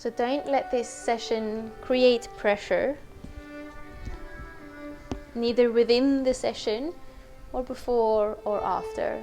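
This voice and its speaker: A woman teacher leading a guided meditation in a gentle, unhurried, conversational way. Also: fairly high pitch, steady tone, soft background music.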